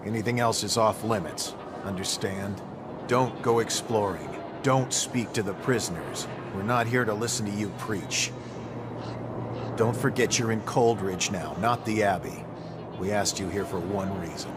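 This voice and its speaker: An actor playing a curt, impatient prison guard officer in a game, brusque and commanding. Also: male voice, on the low side, measured pace, heavy background noise, lightly acted. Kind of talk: game dialogue. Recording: loud music behind the voice.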